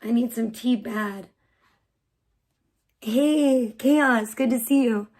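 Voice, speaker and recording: A woman live streamer, hoarse and losing her voice, chatting bubbly and rambling with her viewers while making tea in her kitchen. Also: on the high side, slow, darkish tone. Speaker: live streamer greeting chat. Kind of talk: casual live stream chat.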